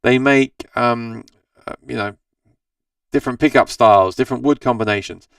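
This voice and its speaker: A man's voice: mid-range voice, moderate pace, smooth, no echo, far from the mic. A man hosting a casual live stream about guitars, answering viewer comments in a rambling, self-correcting way, his voice tired and scratchy. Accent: Australian. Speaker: host of a live gear Q&A stream.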